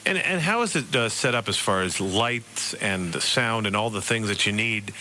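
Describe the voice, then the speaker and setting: American man, radio host role. Very crisp-edged, punchy delivery, slightly halting questions, faint hum on the recording. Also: fairly low pitch, lively intonation, pushed and bright. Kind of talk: radio interview.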